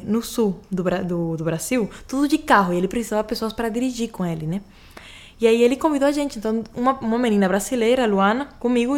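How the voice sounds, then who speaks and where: A woman's voice: a little high, darkish tone. Venezuelan woman with a Spanish accent. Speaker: singer-songwriter guest. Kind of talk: radio interview, casual chat.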